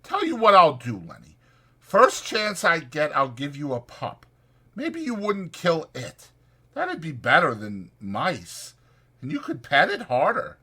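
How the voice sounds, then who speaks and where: A man's voice: fairly high pitch, wildly swooping pitch, unhurried, bright, forceful tone, husky, pitched above the performer's own voice, heavily acted. A man voicing a short-tempered, exasperated migrant ranch worker, scolding in slangy rural American working talk. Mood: gruffly relenting.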